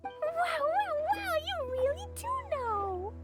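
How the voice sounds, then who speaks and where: A woman voicing an excitable, innocent little girl knight in an anime game, with a small, sweet, childlike voice. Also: very high-pitched, loud music behind the voice, exaggerated voice. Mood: delighted. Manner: drawn-out, exclamatory, earnest.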